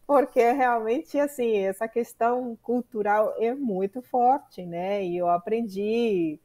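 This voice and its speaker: A middle-aged woman from Colombia with a Colombian Spanish accent, talking as a podcast guest in an animated way, often pausing with fillers to find her words. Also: a little high. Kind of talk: conversational interview.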